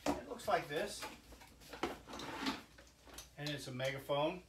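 An older man giving a halting, folksy, informal product demonstration, like an inventor showing off his own gadget. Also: on the high side. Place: indoor cluttered home workshop. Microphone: camera mic a few steps away. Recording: echoey workshop with background noise.

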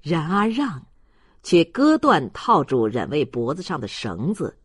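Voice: mid-range voice, wildly swooping pitch, measured pace, breathy edge, no echo, clean studio sound. A narrator reading literary fiction aloud in a dramatic storytelling manner. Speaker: audiobook narrator.

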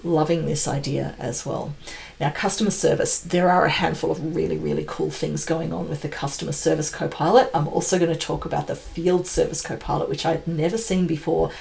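A woman's voice: deep voice, fairly even intonation, fairly quick, vocal fry, a little mellow. A woman in her forties giving an enthusiastic, conversational and emphatic explainer on product announcements, as a tech presenter. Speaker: tech channel presenter, pre-sales professional.

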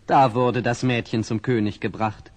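A man's voice: medium pitch, steady tone, moderate pace, darkish tone. Reverberant male fairy-tale narrator. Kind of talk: scripted fairy-tale narration, 1960s audio play. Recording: long reverb on old record.

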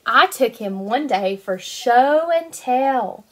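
A woman in her twenties, a kindergarten teacher reading a picture book aloud to young children in an animated, sing-song way. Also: a little high, slow pace. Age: 20s.

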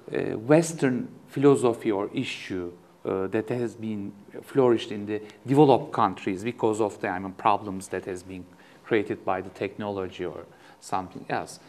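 A middle-aged host whose first language is Turkish speaks at a middle pitch with a gravelly edge. He asks his questions hesitantly, breaking off and restarting as he works out the phrasing. He is recorded in a quiet studio. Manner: hesitant, many fillers and restarts in English. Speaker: interview host, professor. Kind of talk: interview questions. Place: studio with backdrop.

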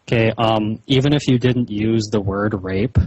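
A man speaking as a caller on a phone, in a measured, hesitant but insistent way, with slightly muffled sound. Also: on the low side, a little mellow, husky. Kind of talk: slow, halting phone conversation. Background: phone call recording.

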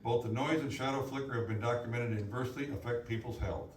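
A man's voice: mid-range voice, quick pace. A man reading prepared written statements aloud at a public meeting. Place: indoor public hearing room. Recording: echoey meeting room, distant mic, faint noise.

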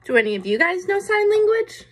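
A woman's voice: very high-pitched, very animated, brisk. A young adult woman reading a picture book to children as a teacher would, with explanatory asides and questions to her listeners. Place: small room indoors.

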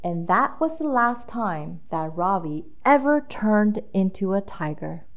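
A woman's voice: mid-range voice, sing-song, unhurried. A woman reading a children's storybook aloud with dramatic emphasis, drawing out key words for young listeners.

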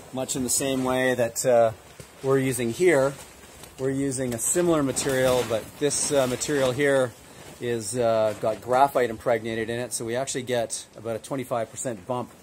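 A man in his fifties giving a casual walkthrough of a construction site to a handheld camera, relaxed and upbeat with frequent filler words. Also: mid-range voice, slight background noise. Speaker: builder giving a site tour. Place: outdoor construction site, then inside a framed house. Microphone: phone or camera held at arm's length.

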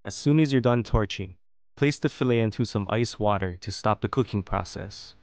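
A man narrating a fish-cutting and plating tutorial as host, explaining each step calmly. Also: fairly low pitch, normal speed, no room sound. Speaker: fishing and cooking channel host. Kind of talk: calm instructional voice-over.

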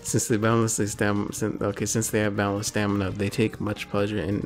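A man's voice: lowish voice, completely flat delivery, fairly quick, soft background music. A young American man in casual comic commentary, reading aloud in a laid-back, joking way as co-host. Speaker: co-host reading out monster encyclopedia entries.

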